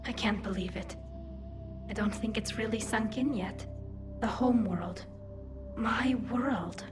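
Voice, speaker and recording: A woman playing a gentle, wistful young alien exile in a video game, speaking softly and reflectively. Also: on the high side, takes it slowly. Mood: wistful, awed. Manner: soft, wondering, reflective. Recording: clear game music underneath.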